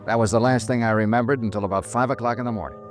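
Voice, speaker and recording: An actor playing a world-weary insurance investigator in a 1950s radio detective drama, grim and clipped with brooding pauses. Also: male voice, mid-range voice, steady tone, close to an everyday voice. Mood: dry narration. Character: world-weary male insurance investigator, grimly narrating his case. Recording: vintage radio drama with music underneath.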